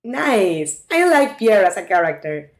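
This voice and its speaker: A woman's voice: medium pitch, very animated, a little slow. Chatty woman gaming. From Mexico. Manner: chatty, talks to her viewers, reacts aloud. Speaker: streamer. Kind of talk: casual gameplay commentary.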